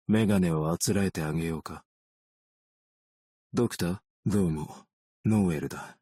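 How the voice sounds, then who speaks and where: Casting: a long-lived, world-weary male optician in a game; deep husky voice, understated, gently melancholic delivery. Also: no echo, clean studio sound. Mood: composed, courteous. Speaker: video game character voice actor. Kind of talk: scripted game character voice lines.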